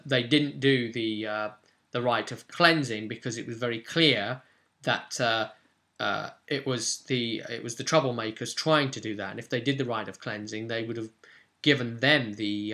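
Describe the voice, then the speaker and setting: Bright, forceful-voiced man rambling casually. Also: mid-range voice, moderate pace. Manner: rambling, conversational, blunt with some swearing. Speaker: game master and video host. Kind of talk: casual recap of a tabletop roleplay session, to camera.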